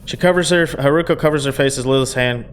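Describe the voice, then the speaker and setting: A woman with an extremely deep voice reads a visual novel's narration. She drops in offhand, casual reactions, and there is some background noise. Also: fast talker.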